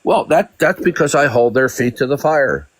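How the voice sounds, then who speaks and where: A man's voice: medium pitch, lively intonation, moderate pace, no room sound. A man hosting a live call-in debate, domineering and mocking, often stammering on repeated words. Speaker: live-stream debate host.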